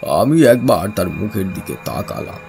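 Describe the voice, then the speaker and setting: A man narrating a horror story in the first person, grave, deliberate and dramatic. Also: fairly low pitch, very animated, gravelly, darkish tone. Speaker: narrator and lead character of horror audio story. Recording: music under the voice.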